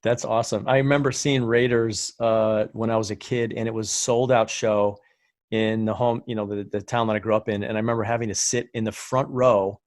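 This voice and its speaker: Low-voiced podcast host, dry sound. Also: male voice, level tone, dry recording. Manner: friendly, filler-heavy, halting. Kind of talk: casual interview hosting.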